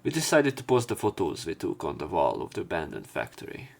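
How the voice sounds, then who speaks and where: A man's voice: sits low, wildly swooping pitch, brisk, vocal fry. A man narrating a let's play, reading visual novel narration and dialogue aloud. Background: game music.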